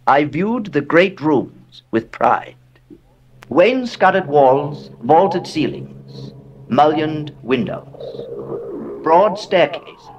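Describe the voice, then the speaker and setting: An actor playing a haunted, aristocratic heir who recounts Gothic horror in a theatrical, deliberate way with dramatic pauses. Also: male voice, on the high side, far from the mic, highs cut off, heavily acted. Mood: proud, wistful. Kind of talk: dramatic horror narration, audio drama monologue.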